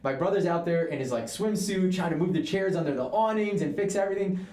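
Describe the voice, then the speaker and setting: A young man from New York co-hosts a casual show and chats with quick, enthusiastic energy. His stories pour out fast. The room gives his voice a roomy echo. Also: high voice, warm tone. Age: young adult. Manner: chatty, enthusiastic storyteller. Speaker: co-host, real estate agent. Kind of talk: casual chat.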